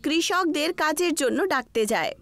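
A woman reading scripted storybook narration for a cartoon in an expressive storytelling manner. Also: high-pitched, moderate pace. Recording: music behind the voice. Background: background music.

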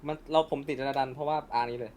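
Fast-talking young man, noisy background. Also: medium pitch, steady tone, a little mellow. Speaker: anime discussion co-host. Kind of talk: casual post-film chat.

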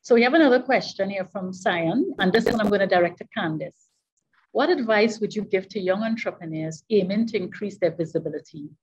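A woman's voice: medium pitch, a little fast. A woman moderating a webinar panel from an office, politely passing questions along. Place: office with banners behind. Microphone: webcam video call. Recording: husky muffled video call audio.

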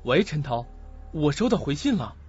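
A man playing an excitable young man who questions eagerly and urgently in a suspense radio drama. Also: a little high, moderate pace. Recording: dull lo-fi audio. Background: faint music.

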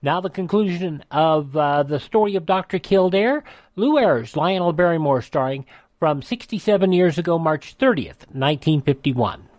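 A man's voice: a little high, expressive, normal speed, a little mellow, dry recording. A man hosting a radio show, announcing programmes informally in hesitant, piecemeal phrases.

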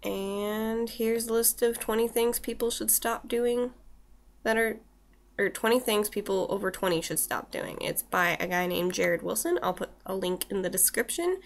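A woman's voice: mid-range voice, moderate pace. A woman in her twenties chatting to her webcam in a small room, reading from a list with wry asides while a little snuffly from a cold. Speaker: vlogger. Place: small room indoors with shelves. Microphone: built-in webcam mic.

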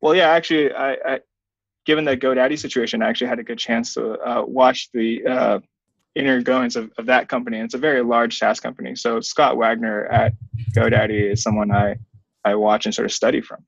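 A man in his thirties gives conversational interview answers in a measured, hedging manner. His voice sits at an average male pitch. The sound is dry and slightly dull, as on a video call. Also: fairly even intonation, vocal fry, dry recording. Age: 30s. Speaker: software company founder, interview guest. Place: small plain indoor room. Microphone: webcam video call, wearing headphones.

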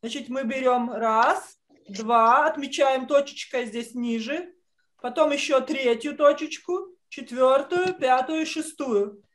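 A middle-aged woman teaching children drawing step by step over a video call, explaining patiently and haltingly. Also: high voice. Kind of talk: step-by-step online lesson instruction. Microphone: computer mic on video call.

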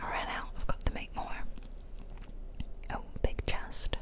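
A woman whispering playful ASMR commentary while she plays a video game. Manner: soft, playful, drawn-out whispering. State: tired. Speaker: game streamer.

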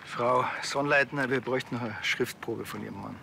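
An actor playing a blunt, unhurried, sardonic village policeman with a Bavarian accent in a TV crime comedy. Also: mid-range voice, creaky, sharp tone, roomy sound, a little hiss or hum. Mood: dry, probing. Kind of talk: naturalistic TV crime comedy dialogue.

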